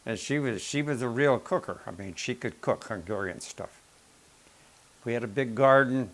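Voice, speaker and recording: A man's voice: mid-range voice, normal speed. Folksy older man telling family stories. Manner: plain-spoken, folksy, rambling with self-corrections. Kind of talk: casual family storytelling.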